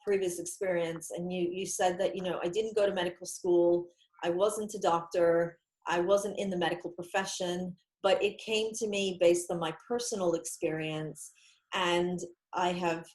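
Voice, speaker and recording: A woman's voice: lowish voice, darkish tone. A woman hosting an online career interview, welcoming guests with upbeat, partly scripted introductions. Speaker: interview host. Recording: echoey room.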